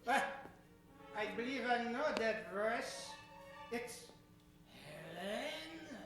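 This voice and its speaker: A man playing a hesitant, apologetic villain in an improvised radio comedy, halting and melodramatic. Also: very high-pitched, big pitch swings, creaky, heavily acted. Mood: hesitant, sheepish. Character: hesitant, apologetic male villain meeting old flame. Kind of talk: improvised old-time radio play acting. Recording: echoey stage from far off, music behind.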